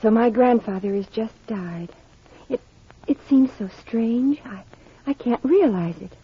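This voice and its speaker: Woman acting confused young heroine in old radio mystery, highish voice, dull hissy recording. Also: a little slow, lightly acted. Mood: bewildered. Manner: hesitant, questioning.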